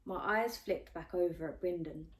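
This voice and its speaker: A woman's voice: lowish voice, normal speed. Woman reading a novel aloud expressively. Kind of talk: expressive scripted audiobook narration in first person.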